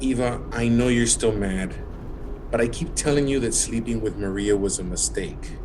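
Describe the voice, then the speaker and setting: A man's voice: medium pitch, normal speed, noisy background, close to an everyday voice. An actor in an audio drama playing a recently divorced man, earnest and defensive as he placates his lover. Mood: pleading. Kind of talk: contemporary audio drama acting. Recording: music under the voice.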